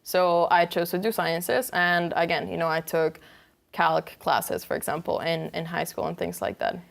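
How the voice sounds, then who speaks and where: A woman's voice: lowish voice, moderate pace, gravelly. A young woman from Venezuela, a student guest answering interview questions earnestly, with many fillers and restarts. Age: young adult.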